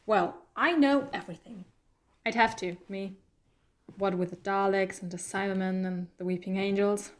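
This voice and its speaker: Clever, coaxing heroine female performer, mid-pitched, conversational and teasing, for an audio drama about an alien traveller. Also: steady tone, moderate pace, lightly acted. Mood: teasing, probing. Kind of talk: fan audio drama acting.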